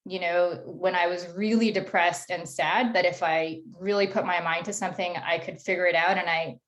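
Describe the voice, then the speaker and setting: A woman's voice: fairly low pitch, fairly even intonation, normal speed, fairly bright tone. Chatty woman telling a rambling story. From Canada. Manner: rambling, self-deprecating, many restarts. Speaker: panelist. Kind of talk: casual storytelling on a video call panel.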